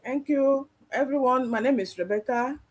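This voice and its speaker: A woman's voice: high voice, normal speed, rough recording. A woman with a Nigerian accent introducing herself to an online audience as a panel member. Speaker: panel member, chef and mentor.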